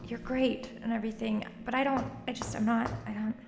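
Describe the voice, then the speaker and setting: An actress playing an awkward young woman in an audio drama, halting as she talks to a friend. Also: on the high side, steady tone, gravelly. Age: young adult. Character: awkward, guilty-feeling young woman trapped with her friend. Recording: echoey room with faint music.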